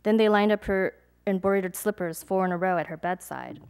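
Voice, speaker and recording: A young adult woman, a poet, reading her poems aloud at a live event, measured and deliberate, with chatty, hesitant asides between pieces. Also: mid-range voice, creaky, darkish tone, clear, resonant tone. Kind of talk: poetry reading with casual asides to an audience.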